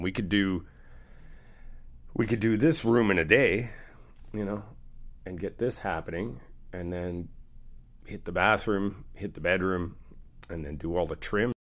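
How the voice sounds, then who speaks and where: A man's voice: low voice, natural voice. A man playing an easygoing, helpful friend in an ASMR roleplay, talking in a casual, reassuring, conversational way. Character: easygoing, helpful male friend helping someone move in.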